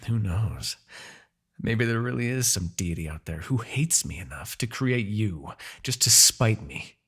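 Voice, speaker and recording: A man playing a proud, vengeful demon king in a dramatic fantasy audio roleplay, with a husky, gravelly voice and a theatrical, gloating manner. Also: low-pitched, exaggerated voice. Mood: bitter, brooding. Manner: theatrical, gloating, with sighs and dark chuckles.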